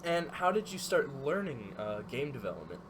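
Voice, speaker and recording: A man's voice: medium pitch, very animated, moderate pace. A man hosting a podcast, asking brief, friendly interview questions. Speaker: podcast host. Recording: noisy background.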